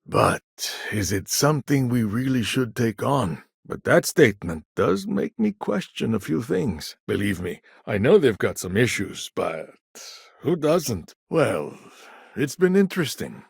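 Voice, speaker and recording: Casting: a smooth, wry corporate dealmaker for a video game; gravelly tone, lively intonation, dry close recording. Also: male voice, medium pitch, unhurried, no echo, heavily acted. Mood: intrigued, measured. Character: smooth, shrewd, courteous male corporate business negotiator. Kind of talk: video game dialogue lines.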